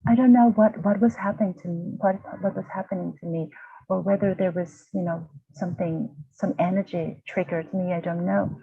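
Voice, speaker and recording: A woman's voice: lowish voice, fairly even intonation, normal speed, creaky, slightly rough, dry recording. A woman, telling her personal story as a guest, hesitant and restarting often as she searches for words. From Vietnam. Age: 40s. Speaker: guest, university lecturer. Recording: tinny, dry video-call audio.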